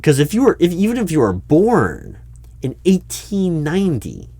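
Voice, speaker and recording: A man playing a politely incredulous recruiting manager in an improvised audio roleplay, speaking aloud in a halting, stammering way and repeating words. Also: on the high side, huge pitch swings, mellow, no echo, exaggerated voice. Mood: puzzled, incredulous. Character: flustered, politely incredulous male recruiting manager interviewing someone. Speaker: job interviewer. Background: computer keyboard typing.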